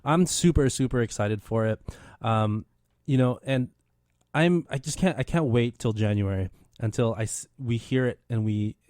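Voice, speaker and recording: A man's voice: mid-range voice, moderate pace, a little mellow, no echo, studio quality. A man hosting a casual radio interview, talking eagerly with many restarts. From Philippine heritage. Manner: enthusiastic, rambling with many restarts. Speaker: radio show host.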